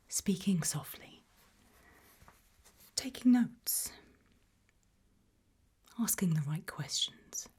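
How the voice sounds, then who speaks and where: A British woman playing a calm, probing investigator in an ASMR roleplay, asking gentle, measured questions in a breathy whisper. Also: medium pitch, full character voice. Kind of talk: ASMR roleplay, whispered and soft-spoken interview.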